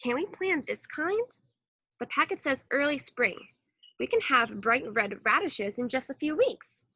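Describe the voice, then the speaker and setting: A woman's voice: high voice, expressive, measured pace, bright, forceful tone, husky, no echo, heavily acted. A woman voicing an eager, mischievous second-grade child in a storybook, full of exclamations. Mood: eager pleading. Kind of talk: acted storybook dialogue.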